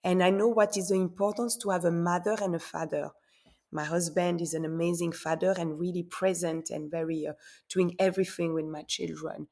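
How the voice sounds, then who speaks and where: A woman born in Congo and raised in Belgium and Switzerland, answering interview questions earnestly and often repeating words as she searches for the next one. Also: fairly low pitch. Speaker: guest.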